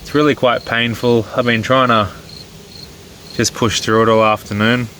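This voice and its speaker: An Australian man with a cold, sounding nasal and hoarse, chatting easygoing and rambling with ums as he films his camping trip outdoors at night. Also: medium pitch, little pitch movement, moderate pace, pushed and bright. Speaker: camping vlogger. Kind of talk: casual vlog narration. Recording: outdoor night noise with crickets. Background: crickets and insects.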